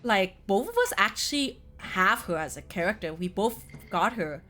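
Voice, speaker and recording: A woman with a mid-range voice talks casually, like the host of a gaming video. Faint music plays behind her relaxed, conversational delivery. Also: mid-range voice, moderate pace. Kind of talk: casual chatty video game discussion. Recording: music playing behind the talk. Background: background music.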